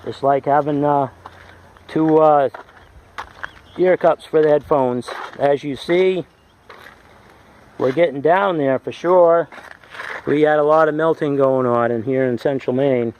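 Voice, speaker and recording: A man giving a casual, halting, folksy hands-on demonstration as a radio hobbyist, from the United States. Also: fairly high pitch, steady tone, dull audio. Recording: noisy home video with radio sound.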